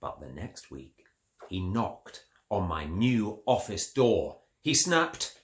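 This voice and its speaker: A man narrating a rhyming storybook in a theatrical, expressive way. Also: sits low, slow pace, slight background noise. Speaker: storybook reader. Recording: echoey room.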